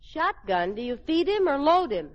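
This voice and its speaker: High, animated wisecracking housewife. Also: female voice, moderate pace. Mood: wry. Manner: arch, teasing, mock-innocent. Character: wry, nosy wisecracking housewife daughter. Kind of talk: old-time radio comedy acting. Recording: noisy, muffled old radio recording.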